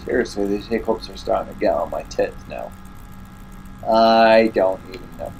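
A man's voice: on the low side, normal speed, creaky, a little mellow, dull audio, a little hiss or hum. An English man commentating a horror game, muttering and grumbling to himself, with a stuffy nose and hiccups. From England. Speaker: gaming YouTuber. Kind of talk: casual let's play commentary.

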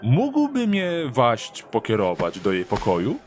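A sly, mock-courteous street thief played by a man in a fantasy audio drama. His intonation swings dramatically and he talks slowly and theatrically, with soft music underneath. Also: medium pitch, huge pitch swings. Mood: falsely courteous.

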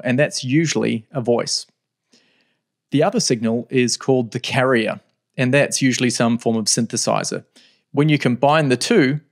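A middle-aged man teaching a music software tutorial, explaining step by step in a relaxed way with thinking pauses, recorded at a desk in a home studio. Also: mid-range voice.

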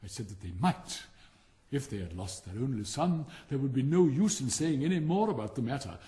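A man's voice: mid-range voice, animated, fairly quick, mellow, natural voice. A man voicing a pompous, self-pitying firework rocket in a storybook, haughty and melodramatic, with a Received Pronunciation accent. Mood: indignant. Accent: English Received Pronunciation. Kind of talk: theatrical storybook character reading.

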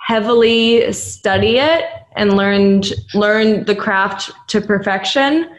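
Young woman, podcast host, studio mic, relaxed and encouraging tone. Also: mid-range voice, slow pace, low-quality recording. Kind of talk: casual chat.

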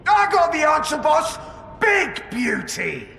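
An actor playing a proud, manic ork mechanic in a video game, boastful and raspy with a Cockney accent. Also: male voice, extremely high pitch, wildly swooping pitch, slow pace, exaggerated voice. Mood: eager, commanding. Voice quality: raspy, gravelly. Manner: forceful, boastful. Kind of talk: video game character acting.

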